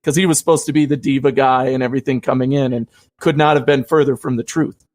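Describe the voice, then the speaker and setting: A man's voice: medium pitch, fairly even intonation, fast talker, darkish tone. A man hosting a casual live fan show, who reads out viewer questions, laughs often and keeps things upbeat. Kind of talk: casual live-stream chat.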